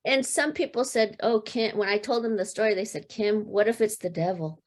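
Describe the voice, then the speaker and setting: Earnest storytelling woman, halting speech. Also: medium pitch. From United States. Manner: earnest, halting with fillers and restarts. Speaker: podcast guest. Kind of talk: casual storytelling.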